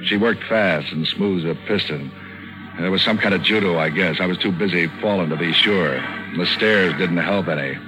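Tough, cynical American gumshoe male actor, low register, 1940s radio noir delivery over music. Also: moderate pace, lightly acted, rough recording. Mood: weary, wry. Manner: hard-boiled, slangy, deadpan. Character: tough wisecracking male private eye, cynical. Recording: vintage 1940s radio broadcast, music behind.

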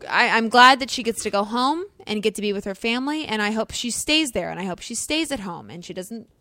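A woman's voice: on the high side, brisk, no echo, clean studio sound. Chatty gossipy woman podcast co-host. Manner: chatty, gossipy, animated asides. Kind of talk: casual chat.

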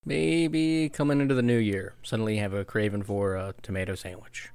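A man's voice: lowish voice, steady tone, a little fast, darkish tone, smooth, dry recording, studio quality. A man co-hosting a podcast in a casual chat, cracking wry jokes. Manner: joking, wry asides. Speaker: podcast co-host.

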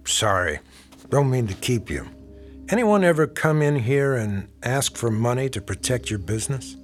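A man's voice: medium pitch, sing-song, normal speed, lightly acted. Middle-aged male detective, played by an actor: wry, probing, folksy, very varied intonation, radio drama with music underneath. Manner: folksy, probing, casually insinuating.

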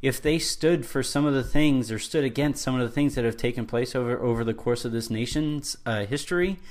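A man's voice: medium pitch, fairly quick, darkish tone. A man co-hosting a sports podcast, talking in a casual, earnest way and building his argument step by step with restarts. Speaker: podcast co-host.

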